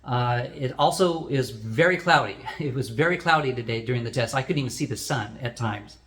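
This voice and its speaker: A man's voice: mid-range voice, brisk, a bit bright. A man hosting a product review, explaining things in a plain, unscripted way as he demonstrates.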